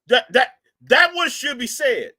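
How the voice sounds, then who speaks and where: Animated man scolding on a live stream. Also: extremely high pitch, a little slow. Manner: animated, repetitive, mocking, scolding. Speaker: live stream host reacting to a video. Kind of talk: reaction commentary to a live chat.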